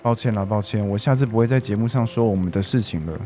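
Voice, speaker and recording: A man's voice: lowish voice, quick pace, warm tone, close to an everyday voice. Guy playing a clingy young taiwanese boyfriend, very frank and doting, audio drama, faint music. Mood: apologetic, hesitant. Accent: Taiwanese Mandarin.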